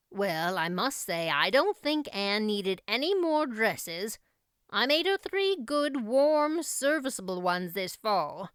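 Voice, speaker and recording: A stern middle-aged spinster, as a woman narrator plays her, stiff and thrifty. Her clear voice scolds drily in a close, echo-free storybook recording. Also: medium pitch, a bit bright.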